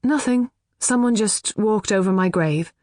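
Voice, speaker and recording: A woman's voice: medium pitch, measured pace, a little mellow, no room sound, studio quality, voice pushed up, natural voice. A woman voicing a firm, level-headed woman of forty in an audiobook. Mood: dismissive.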